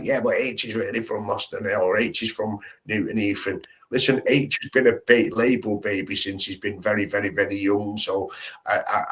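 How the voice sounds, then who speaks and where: A man hosts a live stream in loose, slangy talk. He rambles and restarts his sentences often, in a low voice. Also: fairly bright tone. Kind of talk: casual live-stream chat.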